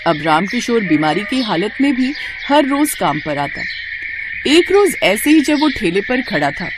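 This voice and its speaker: A woman narrating a scripted moral tale for animation in an expressive storytelling manner. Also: medium pitch, a little slow, noisy background.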